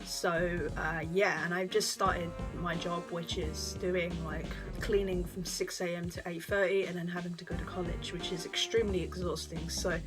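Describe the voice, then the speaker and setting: A woman's voice: lowish voice, level tone, moderate pace, hardly any pauses, music in the background. A young woman chatting casually in a vlog from her bedroom, rambling and apologetic, sounding tired. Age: young adult. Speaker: YouTuber answering fan questions.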